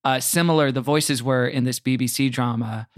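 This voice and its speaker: A man's voice: mid-range voice, fairly even intonation, normal speed, dry recording. Wry, casual man in a dry-sounding room. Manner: wry, joking. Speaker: podcast guest, comedian and podcaster. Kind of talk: casual chat.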